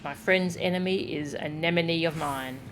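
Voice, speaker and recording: A woman's voice: sits low, moderate pace. A woman game streamer giving casual, understated live commentary, musing to herself as she plays. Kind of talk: casual live gameplay commentary, reading text aloud. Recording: game music behind the voice.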